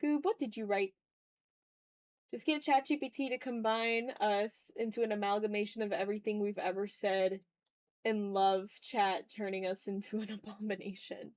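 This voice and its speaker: A woman's voice: mid-range voice, fairly even intonation, moderate pace, darkish tone, dry recording, clean studio sound. A woman chatting casually as she draws on a livestream, teasing her viewers with playful mock exasperation. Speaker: art streamer. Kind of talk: casual livestream chat while drawing.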